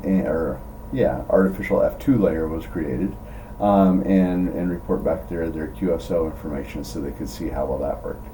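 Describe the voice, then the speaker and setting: A man with a low voice talks casually and a little haltingly, as if working through his thoughts aloud. He rambles through an informal demo. He sounds away from the microphone, with clear ambient noise around him. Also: dark tone. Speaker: amateur radio operator presenting own log data. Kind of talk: casual thinking out loud, screen demo. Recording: distant mic, clear background noise.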